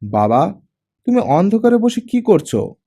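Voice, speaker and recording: Audiobook role: lively, teasing girl talking to her dad, done by a man, raised and animated. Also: high voice, measured pace, breathy edge, pitched above the performer's own voice, exaggerated voice. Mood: surprised, teasing. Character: playful, teasing young daughter chatting with her father.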